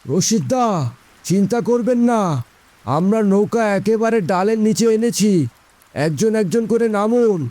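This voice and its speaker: A man voicing a helpful, practical village rescuer in an animated moral story. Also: high-pitched, measured pace, pauses often, dry recording. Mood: reassuring.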